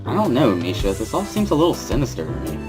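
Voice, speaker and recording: Reader as schoolboy narrator, dry, wary, mildly sardonic, middle pitch, visual novel reading, music behind. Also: huge pitch swings, fast. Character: Hisao, wary, dry teenage schoolboy narrating his own story.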